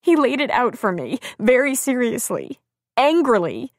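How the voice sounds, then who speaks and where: A woman's voice: on the high side, very animated, unhurried, no echo, clean studio sound, voice pushed up. A woman voicing a distraught, guilt-ridden young pregnant woman in tearful, emotional audiobook dialogue. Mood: anguished.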